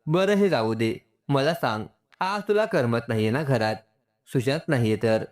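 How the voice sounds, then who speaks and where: A man voicing a caring, gently scolding mother-in-law in a narrated family story. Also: medium pitch, expressive, moderate pace, close to an everyday voice. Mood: probing concern.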